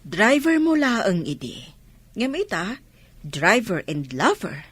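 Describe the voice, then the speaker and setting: An actress playing a meddling, disapproving relative in a radio drama, with an Ilocano Filipino accent. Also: female voice, mid-range voice, sing-song, takes it slowly, a bit bright, breathy edge, no echo, exaggerated voice. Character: meddling, disapproving female relative warning a woman.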